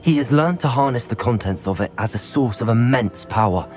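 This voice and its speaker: A man's voice: medium pitch, lively intonation, a little fast, a little mellow, soft background music, lightly acted. An actor playing an earnest young wizard student who reports urgent news to his elders.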